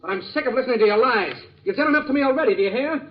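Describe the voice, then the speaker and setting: An actor playing an enraged young man ranting threats in an old radio melodrama, frantic and loud. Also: very high-pitched, expressive, racing. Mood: furious. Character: furious young man shouting threats at his uncle. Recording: muffled vintage radio recording.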